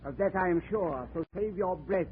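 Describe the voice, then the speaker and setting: A man's voice: high-pitched, normal speed, a little hiss or hum, close to an everyday voice. A man playing a bitter, scheming murder suspect in a 1940s radio drama, sneering and defiant. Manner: sneering, defiant, panics when cornered. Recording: tinny old radio broadcast recording.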